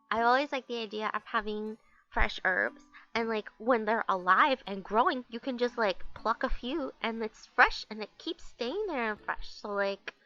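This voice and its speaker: A woman's voice: fairly high pitch, fairly bright tone. Stuffy-nosed bubbly young woman rambling. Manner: bubbly, rambling, many fillers. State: stuffed up, nasal from allergies. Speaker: artist chatting while drawing. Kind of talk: casual rambling chat. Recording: dull lo-fi recording.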